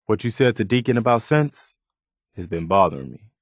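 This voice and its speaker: An American actor playing an earnest, principled young militia companion in a post-apocalyptic video game, speaking in a plain-spoken, measured way. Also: male voice, on the low side, big pitch swings, no room sound, studio quality. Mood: sympathetic, thoughtful.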